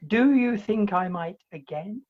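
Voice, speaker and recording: Woman playing a husky, prim young bridegroom. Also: mid-range voice, expressive. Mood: bashful, romantic. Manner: mannered, fastidious, precious. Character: prim, bashful, self-righteous young bridegroom, male. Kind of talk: theatrical comic radio play acting. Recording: dull, lo-fi video-call recording.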